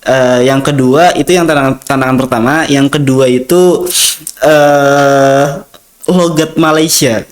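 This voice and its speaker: A man's voice: mid-range voice, fairly even intonation, unhurried. Chatty young Indonesian man. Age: young adult. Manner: rambling with many fillers, acts out dialogue. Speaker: interview guest, student. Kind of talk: casual storytelling interview.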